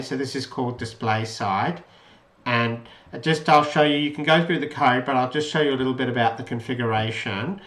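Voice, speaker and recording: Guy doing an off the cuff tech tutorial, average pitch, echoey room, kinda rephrases as he explains. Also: a bit bright. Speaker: presenter of an electronics project tutorial. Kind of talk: informal code walkthrough, unscripted. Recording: roomy, echoey voice pickup.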